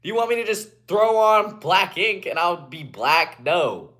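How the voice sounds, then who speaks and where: A young man from Guatemala on a casual live stream, reading comments aloud and answering in a laid-back way, often trailing off quietly, through a phone propped on a ledge. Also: high-pitched, very animated, measured pace. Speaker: livestreamer.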